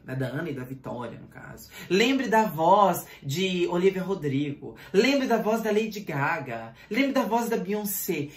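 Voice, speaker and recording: A male singing teacher giving a video lesson, speaking with animated energy and firing rhetorical questions straight at the viewer. His voice carries a noticeable room echo around it. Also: high voice. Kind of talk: online voice lesson. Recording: roomy echo.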